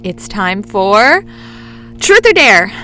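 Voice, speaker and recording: A woman's voice: mid-range voice, sing-song, takes it slowly, sharp tone, a little hiss or hum, heavily acted, low-quality recording. A woman playing a playful, theatrical young woman in an audio drama, showy and mischievous like a mock announcer. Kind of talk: lively audio drama dialogue.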